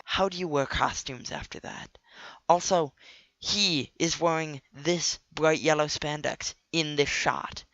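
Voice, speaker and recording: A man's voice: on the high side, fairly even intonation, slow pace, fairly bright tone, highs cut off. Nasal, sick man with sarcastic asides. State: sick with a cold. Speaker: video host. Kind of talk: scripted comic commentary.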